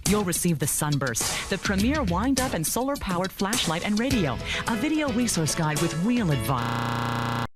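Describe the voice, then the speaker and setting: A man's voice: big pitch swings, normal speed. A man reading an infomercial in an upbeat, salesy way. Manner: upbeat, salesy, pitch swoops around. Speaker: infomercial announcer. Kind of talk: ad read. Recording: ad clip with loud music.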